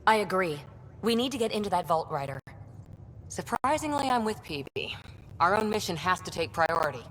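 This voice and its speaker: A woman's voice: medium pitch, big pitch swings, brisk, fairly bright tone, slight background noise. A woman playing a pragmatic, frank space crew officer in a video game cutscene, in a reverberant recording. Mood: firm.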